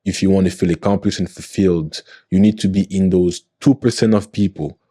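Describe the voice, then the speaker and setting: A young man in his twenties with a low, deep voice and a mellow, dark tone. He talks earnestly and in a motivational way, often checking that he is understood. The sound is dry and close. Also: fairly even intonation, brisk, slightly rough, no echo. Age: 20s. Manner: earnest, motivational, often checks in with "you know what I mean". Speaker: podcast guest. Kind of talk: casual podcast conversation.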